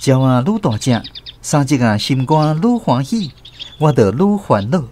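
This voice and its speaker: A man's voice: mid-range voice, animated, unhurried, a little mellow, a little hiss or hum, natural voice. An actor with a Taiwanese accent narrating a radio drama as a man fondly recalling his rural boyhood. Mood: reflective storytelling. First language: Taiwanese Hokkien. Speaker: narrator telling his childhood story.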